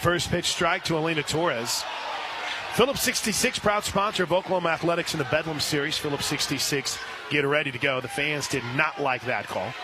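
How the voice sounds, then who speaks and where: Loud outdoor ballpark noise, male radio sportscaster, slightly high-pitched voice, live softball play-by-play. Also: fairly quick. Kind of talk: live softball play-by-play commentary with sponsor reads. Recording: loud outdoor stadium ambience. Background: loud stadium ambience.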